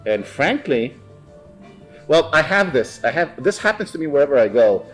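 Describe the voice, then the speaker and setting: A man with a Greek accent, a guest chatting in a bar, speaking haltingly with many fillers and restarts. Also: on the high side, sing-song, faint music behind. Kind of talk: casual chat.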